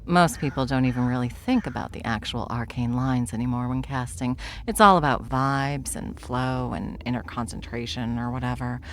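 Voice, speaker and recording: A woman's voice: rumbling low, normal speed, hardly any pauses, slight background noise. A woman playing an ancient, deathless spellcaster, wry and self-assured, lecturing theatrically in a fantasy roleplay audio drama. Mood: animated explaining. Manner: theatrical, wry, leisurely lecturing with breathy asides.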